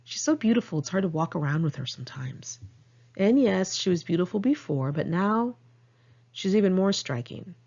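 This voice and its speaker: A woman's voice: fairly low pitch, animated, moderate pace, darkish tone, dull audio. An American woman reading her own diary-style memoir aloud in a candid, conversational way. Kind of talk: audiobook narration of diary entries.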